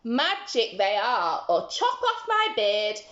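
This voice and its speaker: A woman's voice: a little high, wildly swooping pitch, slow, a bit bright, heavily acted. A woman voicing a strange little bearded man from a storybook, emphatic and coaxing, bargaining like a trickster. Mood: coaxing, sly. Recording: roomy, slightly muffled home recording.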